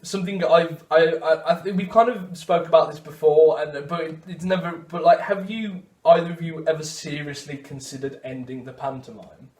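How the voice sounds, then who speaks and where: A man's voice: on the high side, normal speed, hardly any pauses, darkish tone. A young man, narrating and interviewing casually for a documentary.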